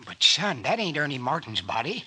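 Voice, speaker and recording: An actor with an American accent playing a stubborn, folksy old small-town mayor in an old-time radio mystery serial. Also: male voice, fairly high pitch, very animated, normal speed, sharp tone, breathy edge, heavily acted. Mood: puzzled. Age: older. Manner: folksy, crusty. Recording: faintly noisy old-time radio recording.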